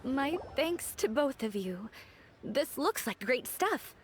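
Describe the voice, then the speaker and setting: A woman playing a polite young artisan in a video game, speaking in a courteous, measured way. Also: high voice, sing-song, slight background noise, heavily acted.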